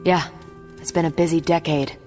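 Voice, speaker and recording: An actress as a low-voiced, wry heroine. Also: female voice, fairly even intonation, moderate pace, darkish tone, soft background music, close to an everyday voice. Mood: wry, casual. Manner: casual, teasing, dry. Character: breezy, wry, seasoned heroine. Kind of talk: video game cutscene acting.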